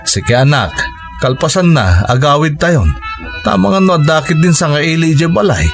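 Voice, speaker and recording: A man playing a stern, worried rural father in a radio drama, gruff and hesitant, grunting between words, with music underneath. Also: fairly high pitch, animated, moderate pace. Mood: warm. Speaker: father Felizardo.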